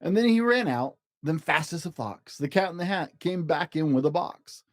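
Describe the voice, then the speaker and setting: Animated man reading a children's storybook. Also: a little high, normal speed, dry recording, clean studio sound. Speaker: host and storybook reader. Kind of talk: expressive children's storybook narration.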